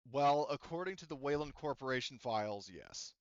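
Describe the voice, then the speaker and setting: A man running a tabletop roleplaying game off the cuff as game master, rambling and halting with frequent restarts and asides. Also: mid-range voice, lively intonation, smooth, dry recording, highs cut off.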